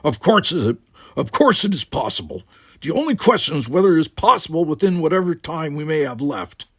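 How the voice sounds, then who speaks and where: A man's voice: on the high side, very animated, fairly quick, fairly bright tone, slightly rough, no echo, clean studio sound, pitched above the performer's own voice, full character voice. A man voicing a stern, commanding young Klingon warrior cadet in an audiobook, formal and sarcastic in manner. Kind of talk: audiobook character voice.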